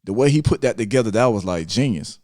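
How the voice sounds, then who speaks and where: A man's voice: on the low side, expressive, quick pace, warm tone, dry recording, studio quality. A man hosting a podcast, talking casually about music in informal, slangy speech. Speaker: podcast host.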